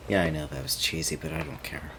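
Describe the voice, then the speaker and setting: A man performing a romantic audio roleplay, teasing and laid-back, laughing often as he talks to a friend. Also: extremely low pitch. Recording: constant fireworks ambience noise. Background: fireworks and outdoor ambience.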